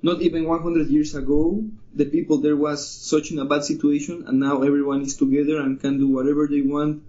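Man, eager, slightly high voice. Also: level tone, warm tone. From Mexico. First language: Spanish. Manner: enthusiastic, halting non-native phrasing. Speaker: guest. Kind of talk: casual interview chat. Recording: dull lo-fi recording.

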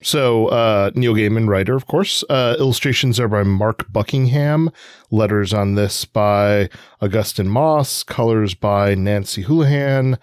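A man with a fairly low voice co-hosts a conversational podcast. He explains in a halting, informal way, assembling sentences as he goes. The sound is dry and close, without room echo. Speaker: podcast co-host.

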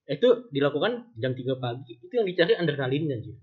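A man's voice: medium pitch, sing-song, fast, slightly rough. A young adult man talking casually and jokingly with friends, using slang and swearing. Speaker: podcast panelist. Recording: dull lo-fi audio, faint noise, clicks.

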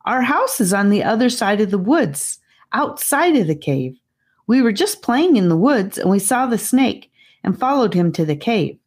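A woman's voice: mid-range voice, sing-song, moderate pace, darkish tone, pitched above the performer's own voice. A woman voicing an earnest, talkative young boy in a storybook reading. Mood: earnest, explaining. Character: earnest young boy, talkative older kid explaining himself.

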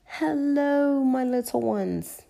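A woman voicing a cheery, doting old grandmother pig in a children's storybook, with a high, sing-song voice. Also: slow, gravelly, dark tone, full character voice, rough recording. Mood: welcoming.

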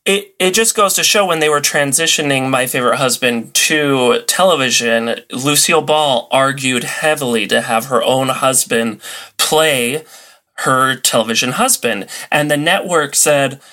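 Guy guesting on a podcast, chatty explainer, middle pitch, tells it like a story. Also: unhurried, pushed and bright. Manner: conversational storytelling. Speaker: podcast guest, old-time radio enthusiast. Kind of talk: casual explanatory chat.

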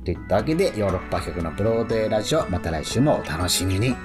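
Low-voiced, easygoing male radio host. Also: very fast, music in the background. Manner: relaxed, with many fillers and chuckles. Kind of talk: casual radio chat.

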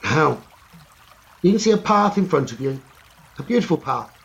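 A man leading a guided meditation slowly and soothingly, in short phrases with long pauses. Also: on the high side, big pitch swings, vocal fry, lo-fi sound. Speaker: meditation teacher. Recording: running stream water noise behind voice. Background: trickling stream water ambience.

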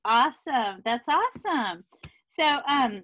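A woman's voice: sits high, sing-song, slow, fairly bright tone, no echo. A middle-aged woman hosting a podcast interview, effusive and enthusiastic. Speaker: podcast host. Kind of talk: conversational interview.

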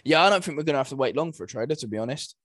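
A man's voice: mid-range voice, animated, racing, a bit bright, no room sound, studio quality. A man talking casually as a co-host on a podcast. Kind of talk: casual chat.

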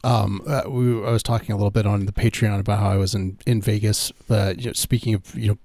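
Male comedy podcaster, slightly deep and relaxed, halting deadpan banter. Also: no room sound. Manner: hesitant, deadpan absurd riffing.

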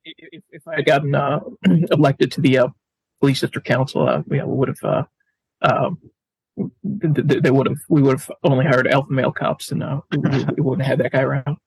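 Halting, gravelly male podcast guest. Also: medium pitch, steady tone, a little mellow, dry recording, rough recording. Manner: halting, stammering, repeats words. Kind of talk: casual interview talk. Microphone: video call.

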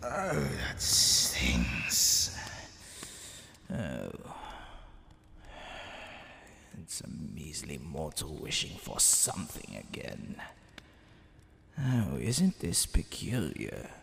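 Demon king for ASMR roleplay, played by a man: slow, gravelly, teasing and smugly menacing, in a long echo over background noise. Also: rumbling low, wildly swooping pitch, bright, forceful tone, exaggerated voice. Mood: amused menace. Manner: theatrical, drawn-out, teasing delivery. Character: smug, flirtatious male demon king, lazily menacing and teasing. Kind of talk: ASMR roleplay monologue addressed to the listener. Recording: long hall reverb, background hiss and ambience.

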